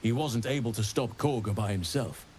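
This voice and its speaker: Man acting a grave, low-voiced druid leader. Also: a little mellow, slight background noise, natural voice. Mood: measured, resolute. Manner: measured, formal, grave phrasing. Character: earnest, learned male druid leader burdened by regret. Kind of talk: dramatic fantasy video game dialogue. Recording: game music behind.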